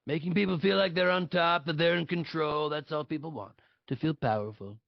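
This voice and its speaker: A blustering, self-important older politician, acted by a man in a satirical table read. The voice sits a little high for a man and has a gravelly fry. He is blunt and dismissive, piling on superlatives, on a dry but dull recording. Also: brisk, no room sound. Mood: self-assured. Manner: boastful, blunt, repeats superlatives. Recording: dull lo-fi audio.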